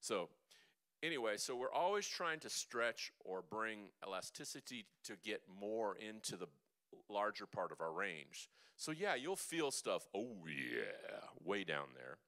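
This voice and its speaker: A man's voice: on the low side, very animated, a little slow, pushed and bright. A man teaching a vocal seminar, chatty and jokey, with playful asides to the room. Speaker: vocal coach.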